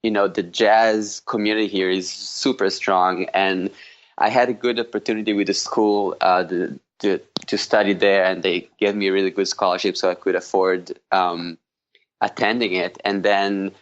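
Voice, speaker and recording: Low Israeli-accented man, muffled sound. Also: level tone, moderate pace. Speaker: podcast guest, jazz guitarist. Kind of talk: casual conversational interview.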